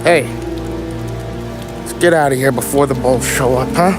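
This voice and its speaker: An actor playing a brash, hot-tempered 1930s mobster with an American accent and slangy, streetwise talk in a crime game. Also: male voice, medium pitch, normal speed, over music, lightly acted. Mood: weary. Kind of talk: cinematic crime game dialogue.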